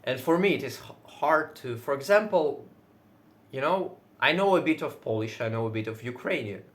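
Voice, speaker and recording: A man's voice: mid-range voice, lively intonation, moderate pace. Russian-accented guy just chatting to his viewers, loose and casual, stops to hunt for words, swears a bit. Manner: says he is loud most of the time. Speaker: video creator. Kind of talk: casual solo talk to camera.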